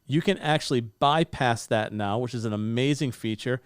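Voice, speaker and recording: A man's voice: medium pitch, normal speed, smooth, no room sound. A man in his forties giving an upbeat, hands-on gear review from his home studio, close on a broadcast microphone. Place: home studio with bookshelves and blue lighting. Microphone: studio broadcast mic on boom arm, close.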